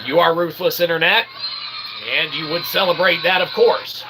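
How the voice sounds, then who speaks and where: A man's voice: fairly high pitch, fairly even intonation, moderate pace, noisy background. A man hosting a comedy show in a rambling monologue, with wordy mock-formal phrasing and theatrical showman delivery. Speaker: host of a comedy philosophy show.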